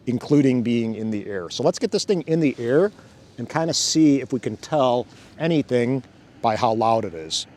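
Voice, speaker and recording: A man hosting a casual hands-on demo outdoors by a fountain, thinking aloud and laughing often as he goes. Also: mid-range voice. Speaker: drone channel host. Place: outdoors by a fountain, cold weather.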